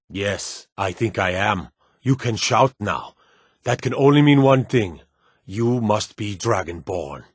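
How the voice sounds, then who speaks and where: A man's voice: on the low side, husky, no room sound, studio quality. A man playing an earnest, superstitious Nord town guard and soldier in a fantasy video game, speaking in a plain, hardy way.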